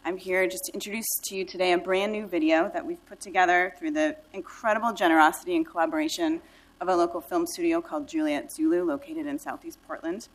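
A woman's voice: fairly low pitch, fairly even intonation, fast, rough recording. A woman giving a formal, scripted introduction as a nonprofit director in a council chamber. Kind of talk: prepared introduction at a council meeting.

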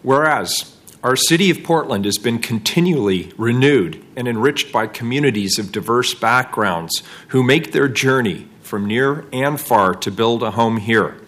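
A man chairs a council meeting, reading a proclamation in a measured, formal manner. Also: mid-range voice, lively intonation, a bit bright. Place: city council chamber.

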